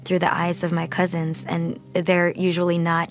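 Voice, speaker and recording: A woman speaks in a fairly low voice with noticeable vocal fry. She is an interview guest, talking reflectively and pausing as she finds her words. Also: completely flat delivery. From Philippines (Manila).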